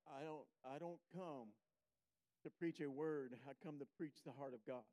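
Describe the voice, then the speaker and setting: A man preaching a sermon as a guest, slow and emphatic, sniffling a little. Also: fairly high pitch, mellow, low-quality recording.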